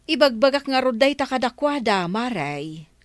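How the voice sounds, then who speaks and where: Village gossip role played by a woman: Ilocano accent, frank, teasing, highish lively voice, dry studio sound. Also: moderate pace, no echo, lightly acted. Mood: chatty. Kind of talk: Filipino radio comedy drama acting.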